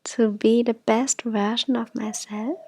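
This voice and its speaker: A woman guiding an affirmation meditation in soft, gentle, breathy phrases that often drop toward a whisper. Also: on the high side, steady tone, a little slow, dry recording. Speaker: meditation guide.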